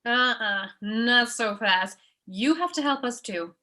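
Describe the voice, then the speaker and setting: A woman's voice: fairly high pitch, animated, takes it slowly, pushed and bright, heavily acted. A woman acting as a bossy, exasperated young woman in a comedy table read, stern and no-nonsense.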